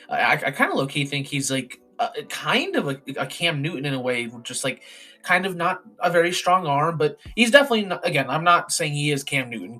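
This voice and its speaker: A hoarse young man hosting a casual sports chat, rambling with lots of fillers and restarts. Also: fairly high pitch, pushed and bright. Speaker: podcast host doing a mock draft.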